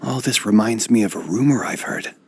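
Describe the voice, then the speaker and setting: A man's voice: lowish voice, a little fast, darkish tone, faint music behind, lightly acted. An actor playing a male police guard in a video game, talking in a conversational storytelling way. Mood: reflective. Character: male city police guard telling an old rumor.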